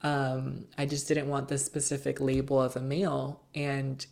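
A young woman as an interview guest, talking in an earnest, measured way with many fillers. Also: rumbling low, little pitch movement, vocal fry, a little mellow. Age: young adult. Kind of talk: casual interview chat.

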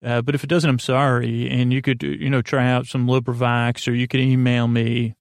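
A man hosting a sleep podcast, rambling softly with many fillers and restarts in a lulling, creaky voice. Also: medium pitch, little pitch movement, no echo, studio quality. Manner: meandering, halting, full of fillers and restarts; soft, lulling, unhurried.

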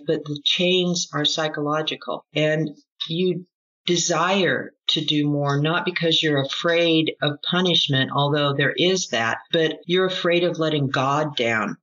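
A woman's voice: sits low, fairly bright tone. Dull lo-fi recording, earnest woman guest. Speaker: interview guest, former group member recounting experience. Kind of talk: reflective spoken testimony. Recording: dull lo-fi audio.

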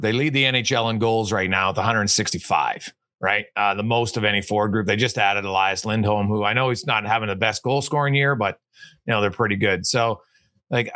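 A man's voice: medium pitch, pushed and bright, no echo, clean studio sound. A man hosting a casual sports podcast, rambling and hesitant, full of uhs and restarts as he works through his opinions. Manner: rambling, hesitant with many fillers. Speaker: podcast host.

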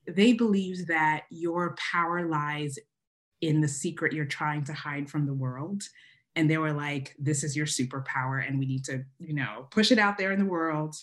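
A woman from Uganda talks as a podcast guest, telling her story in an animated, conversational way. Her voice sits low for a woman, and she laughs often. She is calling in remotely. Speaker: guest, wedding photographer. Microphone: remote call from home.

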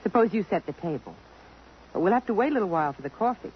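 An actress playing a poised, independent young society woman, composed and gently reproving, in a 1950s radio drama. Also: mid-range voice, lively intonation, fast talker, natural voice. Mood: cool, reproving. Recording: dull, noisy old radio recording.

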